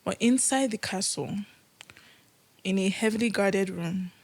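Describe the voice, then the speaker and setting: A woman's voice: mid-range voice, unhurried, gravelly, a little mellow. A young adult woman from Nigeria hosting a podcast, telling a story from a script in casual chat and laughing often.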